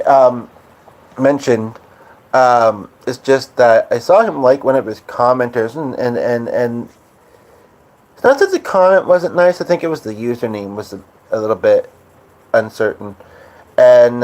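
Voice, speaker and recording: A man's voice: medium pitch, darkish tone. A man hosting a live stream, chatting casually and meandering with lots of fillers and restarts. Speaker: podcast host. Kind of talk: rambling live-stream chat.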